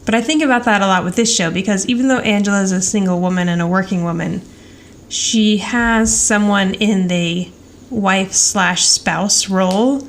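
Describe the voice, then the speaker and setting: A woman's voice: medium pitch, normal speed. Chatty woman podcast co-host, noisy recording. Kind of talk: casual conversational TV recap.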